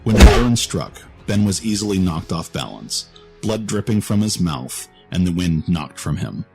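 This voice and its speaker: A man's voice: sits low, sing-song, moderate pace, vocal fry, mellow, faint music behind. A man reading scripted narration for a fantasy story in a storytelling style.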